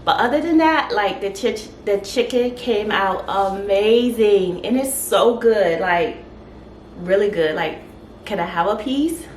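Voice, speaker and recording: A woman hosting a casual home cooking vlog, her voice stuffy and congested, chatting in an unhurried way with lots of fillers. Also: medium pitch, expressive, darkish tone, slight background noise. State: stuffy, congested voice after a sore throat. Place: home kitchen.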